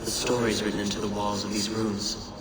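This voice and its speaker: A man's voice: on the low side, level tone, lightly acted. Man voicing a solemn, echoing otherworldly messenger. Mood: solemn regret. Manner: measured, cryptic, portentous. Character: solemn otherworldly messenger of a lost ancient civilization. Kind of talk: video game monologue. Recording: echoing chamber with loud ambient noise.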